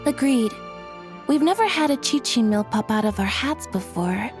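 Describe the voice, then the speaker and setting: Young game magician, persuasive, earnest, slightly high voice, music in background. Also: female voice, lively intonation, moderate pace. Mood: earnest, coaxing. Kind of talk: video game voice acting. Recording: music under the voice.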